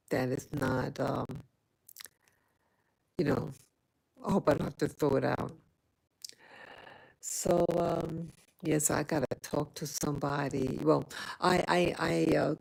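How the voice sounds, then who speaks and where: Slow, gravelly-voiced woman vlogger. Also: low-pitched, darkish tone, rough recording. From New York City. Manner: rambling, many fillers and restarts. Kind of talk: casual chat to viewers. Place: home in Rwanda.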